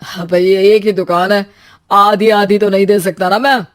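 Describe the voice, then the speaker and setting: A woman's voice: medium pitch, level tone, normal speed, natural voice. A woman voicing a brusque, unbending male shop landlord in a cartoon story. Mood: dismissive.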